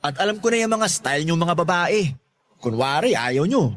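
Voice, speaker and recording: A cheeky young Filipino neighborhood loafer in love. His voice is high and leaps around animatedly as he teases and wheedles in a corny, playful way. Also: animated, normal speed, a bit bright. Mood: teasing. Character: cheeky, lovestruck young male neighborhood loafer, relentlessly teasing suitor. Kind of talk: Tagalog radio drama acting.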